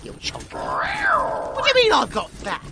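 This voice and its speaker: Woman as an animated British bard, cheeky and young. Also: fairly high pitch, moderate pace, background noise. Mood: grumbling, cheeky. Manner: animated, colloquial, playful. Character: cheeky, excitable, chatty young female village bard. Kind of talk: fantasy audio roleplay acting.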